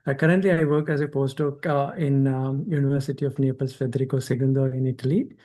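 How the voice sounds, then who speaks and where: A man's voice: mid-range voice, fairly even intonation, fast, dark tone. A man, a postdoctoral researcher, giving a brief, hesitant self-introduction on a video call.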